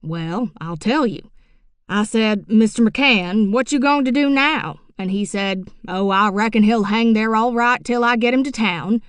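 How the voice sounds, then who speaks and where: A woman's voice: a little high, unhurried, smooth, no room sound, clean studio sound, close to an everyday voice. A woman narrating an audiobook, voicing a middle-aged rural man telling a tall tale in a drawling, folksy way. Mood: yarn-spinning. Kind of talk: audiobook character reading.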